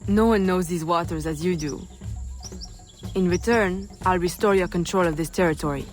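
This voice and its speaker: A woman playing a cool, self-possessed young assassin heroine in a video game, measured and dryly witty. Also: on the low side, faint music behind, close to an everyday voice. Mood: dry, composed. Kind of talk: video game character acting.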